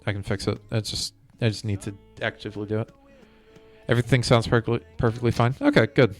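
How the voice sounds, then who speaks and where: A man's voice: medium pitch, steady tone, fast talker. A man streaming a game, chatting casually and thinking aloud to his viewers. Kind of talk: casual chat while playing a game. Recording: music behind the voice.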